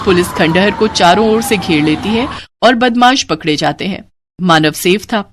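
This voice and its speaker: A woman's voice: medium pitch, moderate pace, background noise. A woman storyteller reading a scripted tale as narrator.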